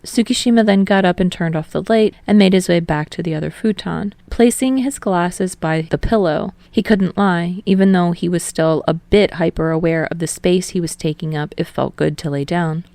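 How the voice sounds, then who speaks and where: A woman's voice: fairly low pitch, normal speed, vocal fry, hardly any pauses, warm tone, dry recording. A woman reading scripted fiction as an audiobook narrator. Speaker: podfic narrator.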